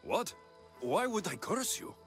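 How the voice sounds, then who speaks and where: An actor playing a plain-spoken heroic mercenary in a video game, with a direct, questioning manner. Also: male voice, sits high, very animated, a little slow, fairly bright tone, faint music behind, full character voice. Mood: exasperated. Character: heroic Greek mercenary, plain-spoken, helpful, quick to exasperation. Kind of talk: video game cutscene acting.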